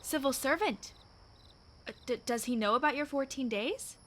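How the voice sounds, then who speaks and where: A woman's voice: sits high, normal speed, fairly bright tone, a little hiss or hum. An actress playing a nosy, opinionated married woman in a radio play, speaking emphatically with swooping exclamations. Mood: cautioning.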